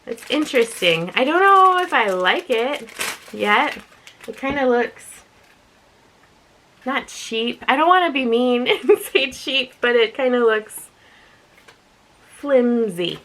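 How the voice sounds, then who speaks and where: Woman, higher voice, light rasp, casual unboxing vlogger, chatty, informal, thinking aloud. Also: animated, slow pace, pauses often, a bit bright, slight background noise, low-quality recording. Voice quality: slightly raspy.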